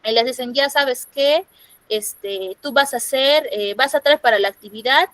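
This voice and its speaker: A woman's voice: high voice, moderate pace, a bit bright, no echo. A woman from Peru teaching an online class in Peruvian Spanish, explaining step by step and often checking in with "¿no?". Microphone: computer microphone on a video call.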